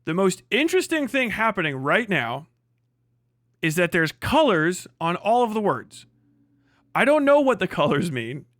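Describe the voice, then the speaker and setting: Male stream host, casual reaction commentary: high-pitched, dry close sound, sardonic and foul-mouthed. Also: big pitch swings, unhurried, fairly bright tone, no echo. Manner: sweary, exasperated, mocking. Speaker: livestream host.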